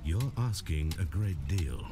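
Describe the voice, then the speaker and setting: A man's voice: sits low, lively intonation, measured pace, background noise. A man voicing a pompous, oily, cowardly merchant in a fantasy game, with formal, mannered speech. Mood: smug, formal. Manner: formal, haughty, turning to grovelling. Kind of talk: video game voice acting.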